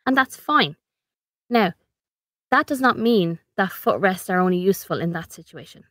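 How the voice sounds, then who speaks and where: A woman's voice: lowish voice, expressive, moderate pace, fairly bright tone, no room sound, studio quality. An Irish woman hosting a solo podcast, explaining things in a practical, conversational way with a slightly snuffly voice. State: slightly snuffly, getting over a cold. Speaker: podcast host.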